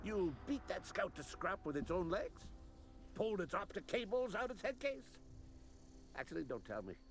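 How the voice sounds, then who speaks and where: An actor playing a gruff old male veteran soldier in a video game, folksy and commanding. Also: high voice, sing-song, normal speed.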